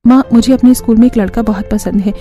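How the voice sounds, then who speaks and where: A woman's voice: on the high side, steady tone, fast talker, dark tone, faint music behind, natural voice. A woman playing an outspoken teenage schoolgirl daughter in a family cartoon, earnest and pleading. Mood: earnest protest. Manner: earnest, protesting. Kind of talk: Hindi cartoon family drama acting.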